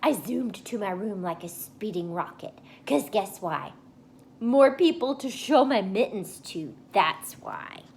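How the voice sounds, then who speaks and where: A woman voicing an excitable, boastful little schoolgirl in a children's storybook, with childish grammar and gushing emphasis. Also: mid-range voice, takes it slowly, creaky, slight background noise. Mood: relieved, emphatic. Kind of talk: first-person children's storybook read-aloud.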